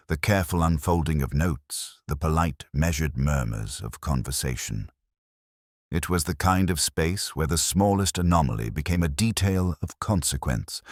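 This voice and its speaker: A man with an extremely low voice narrates a literary mystery in the first person. His delivery is formal and contemplative, with a pitch that moves expressively through each phrase. The sound is dry and close, with almost no room to it. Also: no room sound. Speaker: narrator of a detective story.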